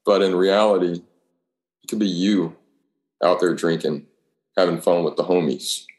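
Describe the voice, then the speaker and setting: A man's voice: sits low, normal speed, a little mellow. A man chatting casually in profane, slangy anecdotes, acting out the dialogue. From Alabama, United States. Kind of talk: casual chat, telling rambling anecdotes. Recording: echoey room.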